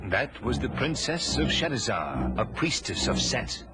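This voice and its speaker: A wary thief archer in a fantasy audio drama, very animated, ominous old-timey lines, loud music. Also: male voice, fairly low pitch, unhurried. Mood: ominous, awed. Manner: ominous, theatrical, archaic phrasing. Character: wary, worldly thief and archer, loyal companion.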